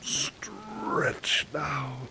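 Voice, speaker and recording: A man playing a devoted, polite butler who paints, chatting softly and hesitantly to the listener in an ASMR roleplay. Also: a little high, expressive, very slow, creaky, exaggerated voice. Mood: relaxed. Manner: hesitant, courteous, old-fashioned wording, gentle. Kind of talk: ASMR roleplay, soft intimate chat to listener.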